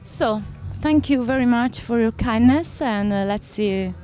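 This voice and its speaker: A woman's voice: fairly high pitch, unhurried. A woman from Italy, an interviewer asking questions hesitantly with many fillers. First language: Italian. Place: festival backstage. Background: loud music.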